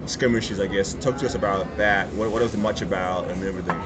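A man's voice: deep voice, fairly even intonation, quick pace. A man hosting a casual interview, asking questions while stumbling and repeating words. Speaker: host. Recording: busy crowd noise behind.

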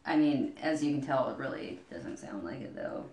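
A woman's voice: extremely low pitch, expressive, moderate pace, darkish tone, roomy sound. A woman co-hosting a podcast in casual, playful banter, rambling from one tangent to another. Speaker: podcast co-host.

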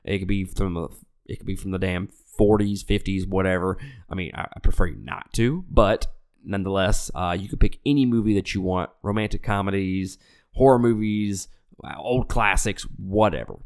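A man's voice: low voice, moderate pace, a little mellow. A man hosting a movie review podcast in a loose, rambling way, sounding nasal and congested from an illness. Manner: rambling, self-correcting, frequent restarts; loose, profane, conversational. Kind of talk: casual chat.

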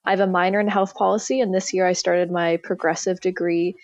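A woman's voice: fairly low pitch, level tone, brisk, a little mellow, dry recording. Young woman guest, dull dry remote audio. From Oregon, USA. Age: young adult. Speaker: student guest. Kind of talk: podcast interview.

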